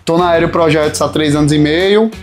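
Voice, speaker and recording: A man's voice: on the high side, brisk. A man with a Pernambuco accent from Northeastern Brazil, talking as a podcast guest in a casual, slangy, joking way. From Caruaru, Pernambuco, Brazil. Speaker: podcast guest, business partner in a management firm. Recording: music playing behind the voice. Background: music.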